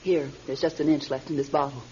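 An actress playing a supportive middle-aged wife in a classic radio drama. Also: female voice, very deep voice, fairly even intonation, fast, warm tone. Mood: fond, encouraging. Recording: dull lo-fi radio, faint noise.

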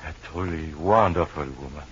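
A man's voice: very deep voice, normal speed, fairly bright tone. An actor playing a sick, delirious Frenchman in a radio drama, fervent, with a French accent and French phrases mixed in. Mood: wistful. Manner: fervent, drops French phrases into his talk. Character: sick, delirious Frenchman, devoted and stubbornly noble. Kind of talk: old-time radio drama acting. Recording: muffled, noisy old radio recording.

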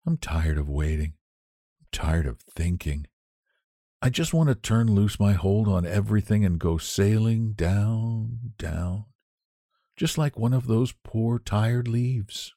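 A man voicing a sick young woman who speaks quietly and wearily. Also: lowish voice, a little slow, dry recording, clean studio sound. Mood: weary. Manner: quiet, tired, near whisper.

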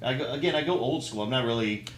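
A middle-aged man co-hosting a casual podcast. His pitch is average for a man. The room echoes around his voice, with a little noise behind it. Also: quick pace. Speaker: podcast co-host. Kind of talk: casual chat. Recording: roomy echo, faint background noise.

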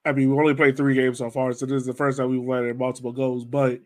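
A man's voice: medium pitch, little pitch movement, fast, a little mellow. A man hosting a sports podcast, talking in an informal, loosely phrased and slangy way. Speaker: podcast host. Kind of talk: casual soccer podcast discussion.

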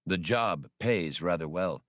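A man voicing a smooth, businesslike male albino university agent. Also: low voice, takes it slowly, dry recording, studio quality, pitched below the performer's own voice.

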